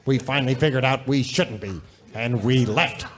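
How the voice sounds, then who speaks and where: Pompous doctor huckster acted by a man, American, middle pitch, folksy, brusque, salesmanlike, parody radio commercial. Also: moderate pace, lightly acted.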